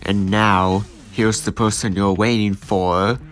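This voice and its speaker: A man's voice: on the low side, fairly even intonation, takes it slowly, soft background music. A man giving a scripted show introduction as an announcer.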